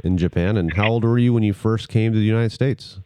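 A man's voice: lowish voice, brisk, mellow, clean tone. A man hosting a casual beer-tasting podcast, easygoing and prompting his guests with questions. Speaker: podcast host.

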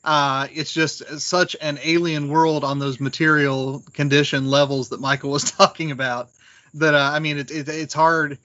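A man discussing literature as a podcast panelist in a scholarly, deliberate, explanatory way. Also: a little high, little pitch movement, sharp tone, highs cut off.

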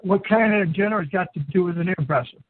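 A man's voice: high voice, racing, no echo. A man co-hosting a casual diving talk show, blunt and incredulous, in a folksy way of speaking. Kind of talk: casual opinionated discussion. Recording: dry, tinny phone-like sound.

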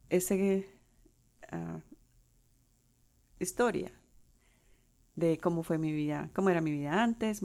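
A Colombian woman in her forties hosting her own podcast, talking unscripted with pauses and restarts. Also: deep voice, creaky, dark tone. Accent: Colombian Spanish. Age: 40s. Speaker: podcast host. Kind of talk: solo podcast monologue.

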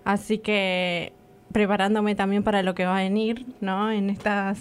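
A woman's voice: mid-range voice, flat delivery, a little slow, clear, resonant tone. A woman with an Argentine accent, co-hosting a casual radio chat and often repeating words while she finds her thought. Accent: Argentine Spanish. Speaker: co-host.

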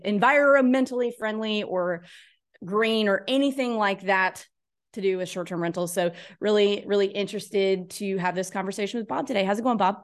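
A woman hosting a podcast, upbeat and casual as she asks her guest questions. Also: medium pitch. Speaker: podcast host.

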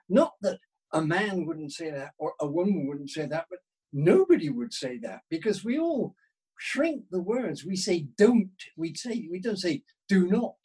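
A British man talks casually as an interview guest. His voice is fairly high for a man and noticeably husky. He rambles through his thoughts in a self-deprecating, discursive way. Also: a little mellow, poor audio. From United Kingdom.

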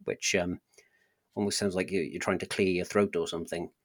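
A man's voice: low-pitched, flat delivery, a little fast. A man with a Welsh accent, a podcast guest telling his life story in a hesitant, conversational way with frequent restarts. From South Wales. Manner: hesitant, many restarts and ums. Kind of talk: conversational interview.

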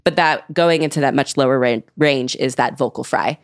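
Low-voiced woman explaining her research. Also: normal speed, no room sound, studio quality. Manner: explanatory, uses "like" often. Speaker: guest researcher. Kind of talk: casual podcast chat.

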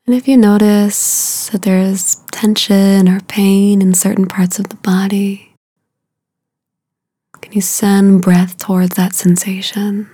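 A woman leading a guided meditation in a soothing, unhurried way, with a soft, breathy voice and long pauses between short phrases. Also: mid-range voice, level tone, dry recording.

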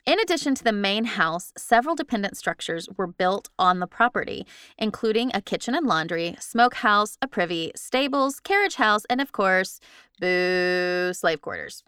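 Female podcast storyteller, bright and crisp, informal and jokey, dry sound. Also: mid-range voice, expressive, moderate pace, no echo. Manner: informal, joking, swears. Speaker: podcast co-host telling history. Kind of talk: casual storytelling from notes.

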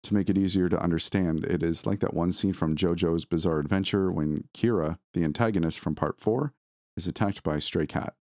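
Man reading as a grave, low-voiced hospital doctor. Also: a little fast, darkish tone, no echo, studio quality. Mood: explanatory. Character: grave male hospital doctor explaining a strange condition.

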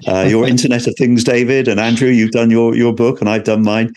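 A man's voice: mid-range voice, steady tone, a little fast, darkish tone. A man hosting a podcast, speaking reflectively and inclusively. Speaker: podcast host.

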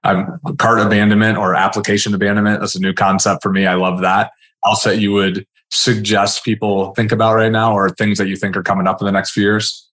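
A man's voice: fairly low pitch, level tone, a little fast, fairly bright tone. A man hosting a conversational interview, agreeable and thinking aloud as he talks. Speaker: podcast host.

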